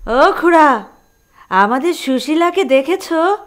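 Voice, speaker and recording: A woman's voice: high-pitched, expressive, takes it slowly. An actress playing a village woman in a period audio drama. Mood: concerned.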